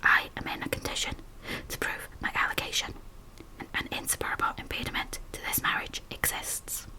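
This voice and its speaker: A woman voicing a calm, steady male lawyer who stops a wedding, whispering each word distinctly and formally. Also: a little fast, lightly acted, low-quality recording. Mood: firm.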